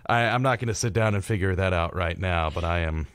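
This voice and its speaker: Young American male college radio co-host: very low pitch, halting casual delivery, dry studio sound. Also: fairly bright tone, clear, resonant tone, no room sound. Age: young adult. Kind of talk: casual live radio chat.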